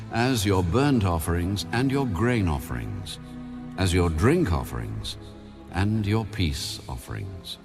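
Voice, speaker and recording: Very deep-voiced man reading scripture over music. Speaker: audio Bible narrator. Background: background music.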